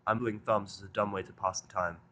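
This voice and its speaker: A man's voice: lowish voice, fairly even intonation, fast talker, bright, forceful tone, slight background noise. A man reading a fan fiction story aloud as a scripted first-person narrator.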